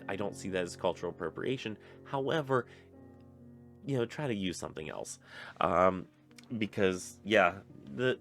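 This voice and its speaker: Young man, very deep voice, congested, casual rambling book chat over soft guitar. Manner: rambling, many fillers and restarts. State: stuffy nose. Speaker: book reviewer on a pagan channel. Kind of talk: casual spoken book review.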